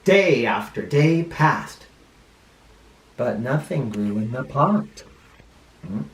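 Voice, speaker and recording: Animated, unhurried male storyteller in echoey room. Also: medium pitch, slight background noise. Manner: explains words simply, with dramatic pauses. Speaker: first grade teacher reading a picture book aloud. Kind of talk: expressive children's storybook read-aloud with simple asides. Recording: roomy, echoing room sound.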